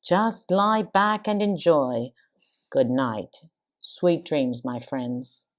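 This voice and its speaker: An older woman playing an arch long-married wife, reciting a comic verse monologue in a theatrical, measured way. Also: sits low. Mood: arch, playful. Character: long-married wife cheerfully confiding about snoring.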